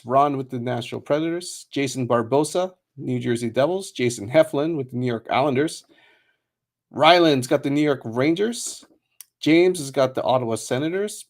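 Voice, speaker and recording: A man hosting a trading card break stream, chatting casually with many fillers and quick laughs, and reading out a long list of names. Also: medium pitch, darkish tone. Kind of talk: casual livestream chat.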